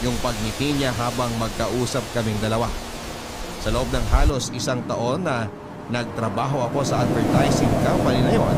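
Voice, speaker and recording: A man narrating a scripted first-person love story in a measured, sentimental storytelling manner. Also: mid-range voice, heavy background noise. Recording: loud music under the voice.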